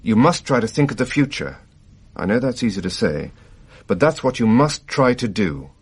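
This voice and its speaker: An actor playing a pragmatic family doctor in a radio drama, consoling in a brisk, matter-of-fact way. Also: male voice, mid-range voice, lively intonation, husky.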